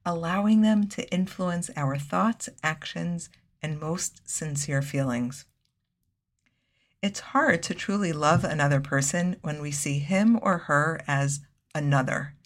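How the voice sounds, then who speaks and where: A woman giving a measured, earnest scripted Torah lesson, weaving in Hebrew terms. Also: deep voice. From United States. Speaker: Torah teacher.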